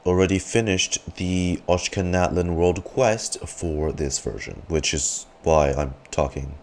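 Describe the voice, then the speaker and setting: A man's voice: low-pitched, a little slow, a little hiss or hum. A man streaming a casual reaction commentary over a video game. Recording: game music behind voice.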